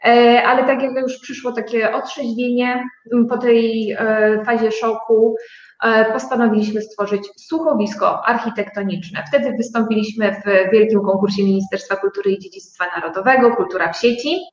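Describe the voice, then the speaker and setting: High-voiced woman in a roomy, dull recording. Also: steady tone, normal speed. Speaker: guest, start-up co-owner. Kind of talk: interview answer, explaining her project.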